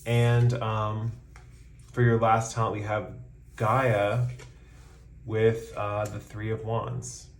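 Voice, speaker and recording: A man's voice: on the low side, echoey room, a little hiss or hum. Easygoing man reading tarot, losing his voice. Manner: relaxed, rambling, frequent ums, slows to announce cards. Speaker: tarot reader. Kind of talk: casual tarot reading to camera.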